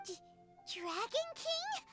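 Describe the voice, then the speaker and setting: A tiny, outspoken fairy sidekick from a video game. The voice is very high, cute and childlike, with an emphatic, excitable delivery. Faint music plays underneath. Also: female voice, takes it slowly, exaggerated voice. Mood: startled. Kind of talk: video game character acting.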